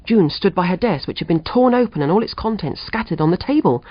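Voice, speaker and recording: A woman's voice: fairly low pitch, lively intonation, a little fast, no echo. A woman reading a suspense story as an audiobook narrator in a scripted narration style. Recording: dull, dry audiobook recording.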